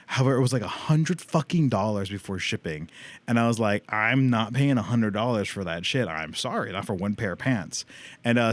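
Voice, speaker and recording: A man chatting casually as a livestream host and gamer, rambling in loose slang with frequent swearing. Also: medium pitch.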